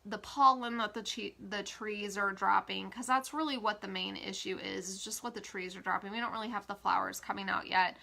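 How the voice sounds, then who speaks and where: A woman's voice: mid-range voice, fairly even intonation, brisk, creaky, few pauses, pushed and bright. A woman with a stuffy, congested voice chatting casually as an essential oil educator, with frequent fillers. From Kansas, USA. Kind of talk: casual social media live talk.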